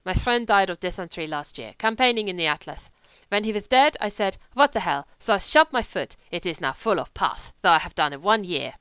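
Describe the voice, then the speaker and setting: A wolfish, lolling young German man with a slight lisp, tossing out offhand broken English, as a woman puts him on. The voice is clear and smooth. The recording is close and dry. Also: fairly low pitch, expressive, a little fast, few pauses, a bit bright, no room sound, low-quality recording. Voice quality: lisp from a missing tooth.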